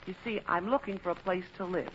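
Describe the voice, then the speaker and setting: A woman's voice: on the low side, fast talker, natural voice. An actress playing a plucky, wry young woman in a vintage radio comedy. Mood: exasperated, wry. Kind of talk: 1940s radio comedy acting. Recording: muffled, noisy old radio recording.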